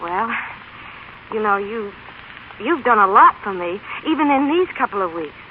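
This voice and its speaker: An actress playing an eager, insecure working-class young woman, halting and earnest, in a scratchy 1930s radio drama. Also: mid-range voice, expressive, narrow, tinny audio, close to an everyday voice. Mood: eager, yearning.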